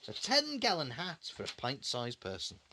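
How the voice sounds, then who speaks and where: A man tells a children's story as a dad would at bedtime. His voice moves in very lively swoops. He repeats phrases for drama and adds conversational little asides to the listener. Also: medium pitch, normal speed. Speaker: father reading a bedtime story.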